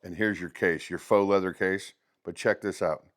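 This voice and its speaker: Man, slightly low voice, casual unboxing style, conversational product reviewer, step-by-step demonstration. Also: fairly even intonation, normal speed. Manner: conversational, showing items step by step.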